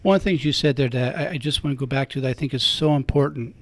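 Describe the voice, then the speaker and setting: A man's voice: medium pitch, fast talker. A man, a priest who hosts a radio talk show, chatty and joking as he wraps up with thanks and plugs. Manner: chatty, jokey, warm sign-off.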